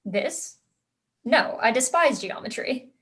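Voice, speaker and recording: A woman's voice: on the high side, expressive, slow pace, a bit bright. A young woman acting a precocious sixteen-year-old aristocratic girl in a staged reading, emphatic and self-assured. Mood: confident, scornful. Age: young adult. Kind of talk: staged reading of a period comedy.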